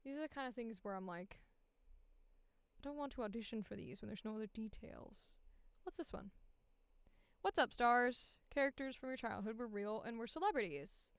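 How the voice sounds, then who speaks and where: A woman voice actor streaming in a casual, informal way, reading things aloud and thinking out loud as she comments. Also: fairly high pitch, animated, moderate pace, clear, resonant tone, dry recording.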